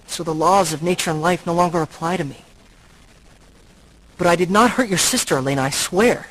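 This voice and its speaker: A centuries-old vampire for a game, tormented yet noble. His voice sits a little high for a man, with a husky, gravelly grain. He speaks gravely and haltingly, as if every line were a confession. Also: a bit bright, poor audio. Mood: insistent. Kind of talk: earnest video game voice acting.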